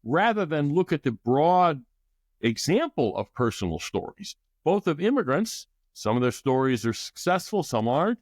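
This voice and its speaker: Middle-aged male guest, measured explainer, dry close recording. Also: fairly high pitch, no echo. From United States. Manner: thoughtful, explanatory with restarts. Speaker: guest expert. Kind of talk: podcast interview.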